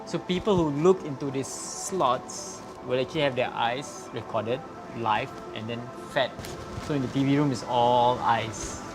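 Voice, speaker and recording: Relaxed graffiti artist, mid-pitched. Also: male voice, unhurried, over music. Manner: relaxed, explanatory, filler-heavy. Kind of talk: documentary interview.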